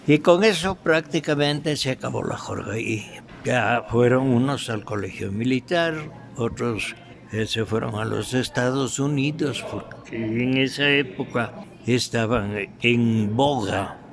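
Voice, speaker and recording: An older man with an Ecuadorian Spanish accent, reminiscing in an interview in a halting, deliberate way with pauses and repetitions. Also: mid-range voice, creaky. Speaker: interviewee, veteran radio drama actor.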